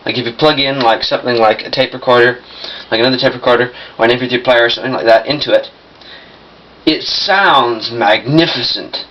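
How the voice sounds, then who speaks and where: A young man giving a casual hands-on demonstration in a halting, piecemeal way, often correcting himself. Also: medium pitch, a bit bright. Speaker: vintage audio collector demonstrating a machine. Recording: muffled lo-fi camcorder sound, noisy.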